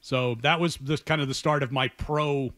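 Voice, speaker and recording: A man's voice: medium pitch, fairly even intonation, normal speed, a bit bright, dry recording. A man in his forties, a sports announcer, answering casually as a guest in a radio interview. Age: 40s. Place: radio studio.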